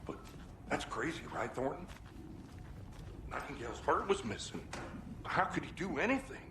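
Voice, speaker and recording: A man's voice: fairly high pitch, big pitch swings, normal speed, echoey room, noisy background. A man playing a bewildered, hesitant small-town police deputy in a video game.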